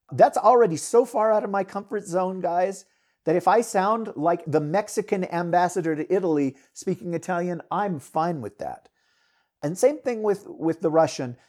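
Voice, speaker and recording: A middle-aged American man, a podcast host and writer, talking alone in a rambling, candid way, his loudness rising and falling a lot. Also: fairly high pitch. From United States. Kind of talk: casual solo talk.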